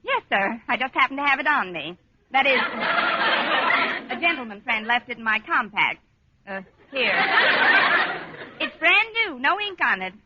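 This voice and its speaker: An actress playing a wry woman schoolteacher with deadpan sarcasm and crisp timing in a 1940s radio comedy. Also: on the high side, moderate pace, long pauses, a loud crowd. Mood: brightly polite. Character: wry, wisecracking woman high school teacher. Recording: muffled noisy old radio recording.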